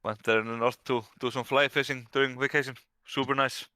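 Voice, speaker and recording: A man's voice: mid-range voice, fairly even intonation, fairly quick, pushed and bright, clear, resonant tone, no echo, studio quality. A man from Iceland, a brand director and guest, answering interview questions in an easygoing way with frequent restarts.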